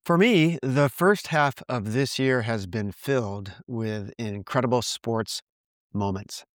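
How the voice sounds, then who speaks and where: A man in his sixties from the United States hosting his own podcast, reading reflective narration in an earnest, measured way. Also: medium pitch, no echo, studio quality. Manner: earnest, measured, reads from a script. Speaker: podcast host.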